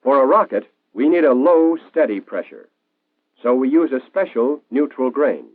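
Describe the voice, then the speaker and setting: An American man reading scripted narration for a 1940s instructional film in a clear, didactic, explanatory manner. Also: mid-range voice, lively intonation, moderate pace, dry recording. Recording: tinny band-limited 1940s film soundtrack.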